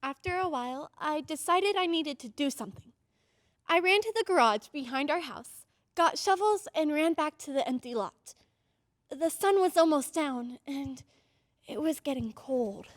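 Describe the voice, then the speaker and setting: A woman's voice: high voice, lively intonation, moderate pace, no echo, clean studio sound. A woman playing an earnest, insistent ten-year-old girl in an old-time radio drama, urgent and pleading. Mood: determined, narrating.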